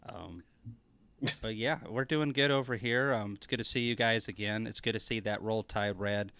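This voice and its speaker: A man's voice: on the low side, normal speed, bright, forceful tone, smooth, no room sound. A man chatting casually as a guest, folksy and given to joking. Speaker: conference speaker, livestream guest. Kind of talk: casual chat.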